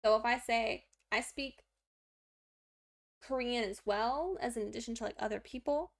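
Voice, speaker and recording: A woman's voice: normal speed, creaky. A young woman streaming her Korean study, explaining grammar in a chatty, self-deprecating way with lots of fillers. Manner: talks to a pet in a high baby voice.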